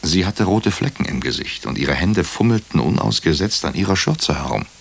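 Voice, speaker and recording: An actor playing a wry hospital doctor who narrates a crime case in a radio drama, dry and ironic. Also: male voice, sits low, lively intonation, normal speed, gravelly, breathy edge, heavily acted. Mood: measured narration.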